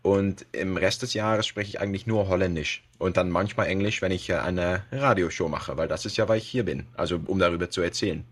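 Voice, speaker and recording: A man with a Dutch accent speaks German as a second language in a relaxed podcast chat. His voice sits a little low, and he searches for words, filling gaps with hesitations and restarting sentences. He laughs now and then as he talks. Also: a little fast, hardly any pauses, breathy edge. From Netherlands. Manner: hesitant, many fillers and restarts, laughs often. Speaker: podcast guest, web radio host. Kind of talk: casual podcast interview.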